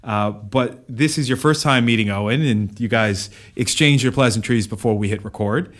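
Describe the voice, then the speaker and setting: A man co-hosting a podcast, asking long, rambling interview questions full of ums and restarts, recorded in an office. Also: mid-range voice. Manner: brisk read intro, then rambling questions full of ums. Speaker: podcast co-host. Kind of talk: scripted intro, then interview questions. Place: office in a shared workspace.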